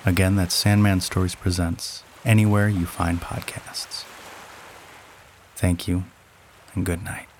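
A man reading a scripted promo for his storytelling podcast in a gentle, soothing way. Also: sits low, flat delivery, unhurried, pauses often, slight background noise.

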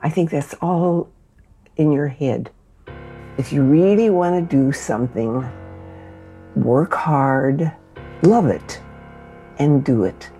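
Low, slow American woman in her eighties. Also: mellow, faint music behind. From Kansas City, United States. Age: 80s. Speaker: interviewee telling own story. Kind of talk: personal storytelling.

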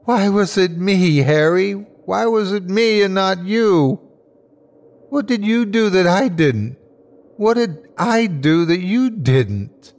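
Man voicing a strained, creaky, anguished dying man. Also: medium pitch, animated, a little mellow. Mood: desperate accusation. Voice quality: strained, sometimes whiny or growling. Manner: halting, anguished delivery in short bursts. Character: dying man, frightened, awed, turning bitter and hateful. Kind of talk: dramatic horror story reading.

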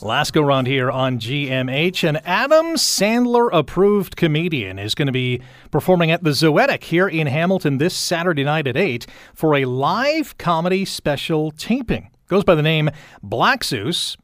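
Guy hosting morning radio, bit higher voice, upbeat interview style, really dry studio sound. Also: sing-song, normal speed, dry recording. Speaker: radio morning show host.

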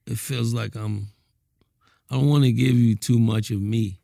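A man's voice: mid-range voice, steady tone, a little slow, vocal fry, dark tone, no room sound, studio quality. A man in casual interview talk, laid-back, often trailing off and repeating words before dropping to a mumble. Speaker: rapper guest.

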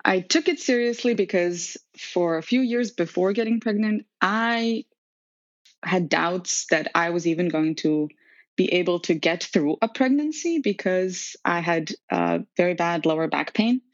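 Serbian-born lady in her 30s, lowish voice, lots of ums, dry muffled sound. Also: expressive, measured pace, no echo. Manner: chatty with frequent ums and laughs. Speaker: podcast guest. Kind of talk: conversational storytelling.

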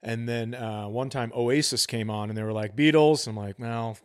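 A middle-aged man with a medium-pitched voice chats as a comedy podcast co-host. He tells stories in a casual, profane, conversational style. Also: steady tone, moderate pace, clean tone. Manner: profane, storytelling.

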